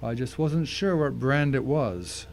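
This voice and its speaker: Male narrator, average pitch, scripted dramatic storytelling, slightly noisy humming recording. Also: animated, unhurried, vocal fry.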